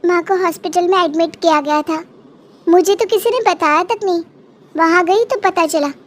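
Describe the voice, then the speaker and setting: A woman acts a young daughter-in-law in a cartoon family story, her voice extremely high. She is polite, earnest and eager to serve. Noise sits behind the voice. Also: moderate pace. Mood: earnest, respectful. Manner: respectful, earnest. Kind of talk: Hindi cartoon moral story acting.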